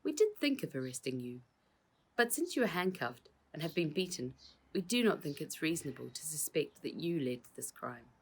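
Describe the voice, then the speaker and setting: A woman voicing a patient male police constable in a storybook, explaining things in formal, measured terms. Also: low-pitched, very animated, slightly rough, full character voice.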